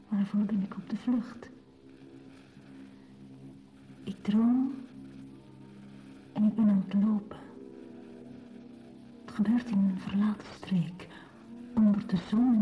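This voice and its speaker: Poetic radio drama: breathy dreaming woman, very deliberate delivery, dull muffled sound. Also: mid-range voice. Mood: dreamy, haunted. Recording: dull radio play with faint music.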